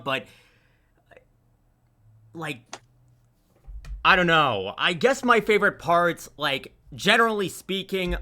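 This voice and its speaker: A man's voice: on the high side, bright, forceful tone. A man chatting casually as a panel guest, loose and informal, with hesitant phrasing. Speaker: panelist, amateur voice actor. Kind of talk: casual chat.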